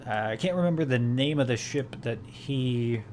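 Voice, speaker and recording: A man's voice: medium pitch, moderate pace, a little mellow, slight background noise. A man hosting a podcast in casual chat, rambling with many fillers and restarts. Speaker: podcast host.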